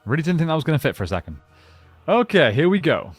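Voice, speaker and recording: Casual man reviewing a collectible, low-key music behind. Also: big pitch swings, fast talker, husky, no room sound. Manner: informal, thinking aloud. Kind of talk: casual unboxing and review chat.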